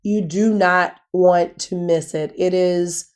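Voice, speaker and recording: A woman hosting a podcast, talking in a casual, animated way. Her voice is naturally raspy and hoarse from a cold. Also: lowish voice, slow. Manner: animated, storytelling with "girl" asides. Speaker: podcast host. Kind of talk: casual chat.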